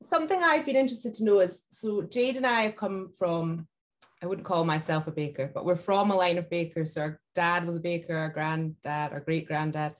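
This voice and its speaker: A woman's voice: fairly low pitch, normal speed. A woman, a podcast co-host asking friendly questions over a video call. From Scotland. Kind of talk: casual interview questions. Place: indoor room at home. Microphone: video call webcam.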